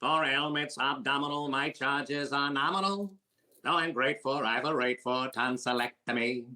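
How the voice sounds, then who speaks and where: Guy doing a fast-talking sharp-toned quack doc, old radio comedy, deadpan jokes. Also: mid-range voice. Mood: singing. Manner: rapid wisecracking patter, punchlines delivered deadpan. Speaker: actor playing a comedian in a radio recreation. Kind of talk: old-time radio comedy with sung patter.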